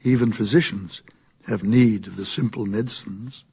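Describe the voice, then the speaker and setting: A British man narrating a radio drama in a measured, literary storytelling manner. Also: lowish voice, vocal fry, mellow, breathy edge.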